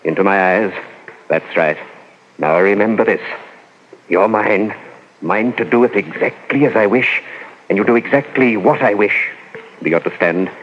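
Guy doing creepy hypnotic heavy stranger on a 1940s radio show, low calm voice, muffled noisy old recording. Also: natural voice. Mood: commanding. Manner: slow, calm, commanding. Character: menacing, coldly controlled, heavy strange man with hypnotic power.

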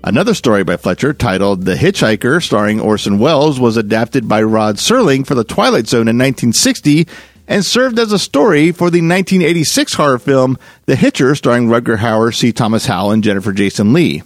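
A man's voice: medium pitch, lively intonation, fairly quick, dry recording. A man hosting a podcast, reading a scripted introduction in a presentational, announcer-like way. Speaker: podcast host. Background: music.

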